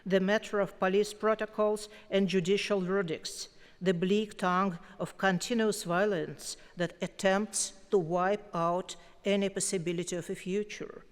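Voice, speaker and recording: A woman with a Russian accent reads a formal acceptance speech, unhurried and weighing every phrase. Her voice comes through smooth and resonant. A long hall reverb trails behind each pause. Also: medium pitch, steady tone. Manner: slow, measured and deliberate, with weighty pauses. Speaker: prize laureate giving an acceptance speech. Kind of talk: formal prepared speech read aloud. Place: large concert hall at a ceremony.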